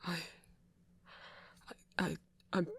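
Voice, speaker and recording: A man playing an anxious, protective centuries-old male vampire in an ASMR romance roleplay, with a British accent and a soft, halting, stammering delivery. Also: dry recording. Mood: distraught, guilty.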